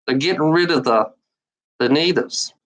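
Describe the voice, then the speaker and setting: A woman's voice: low-pitched, moderate pace, low-quality recording. A woman delivering an angry, profane monologue to the camera, repeating phrases and restarting sentences often. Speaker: online commenter.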